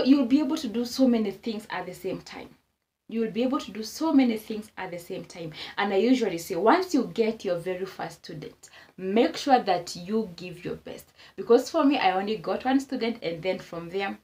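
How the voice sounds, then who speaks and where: A woman's voice: mid-range voice, expressive, moderate pace, darkish tone. A Kenyan woman vlogging casual advice to camera, repeating her points for emphasis and exclaiming as she goes.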